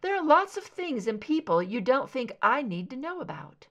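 A woman's voice: high voice, very animated, moderate pace, a bit bright, pitched above the performer's own voice, exaggerated voice. A woman reading a weary, proud female agent in an audiobook, clipped, guarded and defensive. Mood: irritated, defensive. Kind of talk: dramatic audiobook character dialogue.

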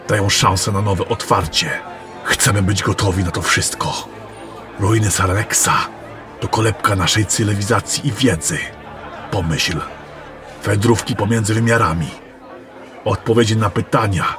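A man playing a secretive, scholarly adept of magic in a fantasy audio drama, speaking in a formal, measured, courteous way. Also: lowish voice, natural voice. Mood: solemn, then musing. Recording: music and crowd murmur behind.

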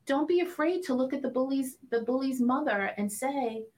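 A woman's voice: high-pitched, lively intonation, moderate pace, darkish tone. A woman in her late fifties hosting a podcast interview, talking with eager, emphatic enthusiasm and drifting into tangents. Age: 50s. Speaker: podcast host. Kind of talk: casual conversational interview.

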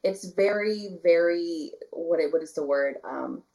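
Woman from the texas valley, soft-spoken guest, lots of ums, lowish voice. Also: a little slow, vocal fry, rough recording. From Rio Grande Valley, Texas. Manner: soft-spoken, many fillers and restarts. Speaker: guest, spiritual candle maker. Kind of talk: casual interview chat.

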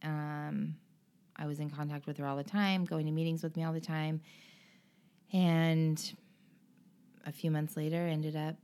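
Low-voiced woman telling her story earnestly. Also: flat delivery, measured pace, pauses often, warm tone. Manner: earnest and emotional, many ums and restarts. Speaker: interview guest. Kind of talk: candid personal interview.

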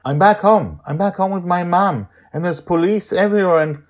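A man voicing a shaken, earnest young boy in an audio story, with a halting, questioning manner. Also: sits high, pitched above the performer's own voice, close to an everyday voice. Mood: relieved, overwhelmed. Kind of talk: serialized audio story dialogue.

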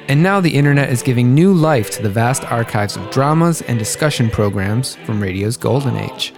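A man hosting a history podcast, reading a scripted narration in a measured, even manner. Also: medium pitch, over music. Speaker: podcast host.

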